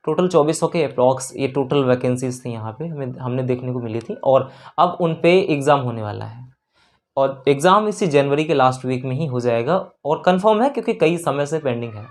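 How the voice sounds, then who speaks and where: Guy doing exam prep teaching, mid pitched voice, repeats stuff, drops in english terms. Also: animated, moderate pace. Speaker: maths teacher. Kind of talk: exam-prep lesson, explaining step by step.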